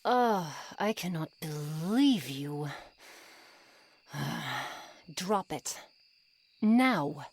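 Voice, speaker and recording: A woman playing a haughty, coolly controlling witch in an ASMR roleplay, speaking formally and deliberately in a breathy voice that often nears a whisper. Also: low-pitched, animated, a little hiss or hum, exaggerated voice. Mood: exasperated, scolding. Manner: slow, formal, deliberate, condescending. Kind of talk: ASMR roleplay, scripted monologue to the listener.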